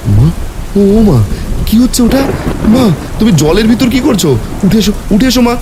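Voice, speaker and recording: A man voicing an anxious, devoted young son in an animated horror story, speaking in an insistent, pleading, excitable way. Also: high-pitched, normal speed, background noise, voice pushed up. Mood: alarmed.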